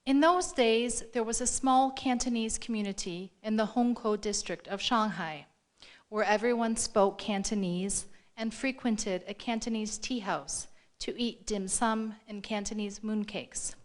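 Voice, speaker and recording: A woman reading a formal award-ceremony speech aloud in a measured, deliberate way. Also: medium pitch. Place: live event room before an audience.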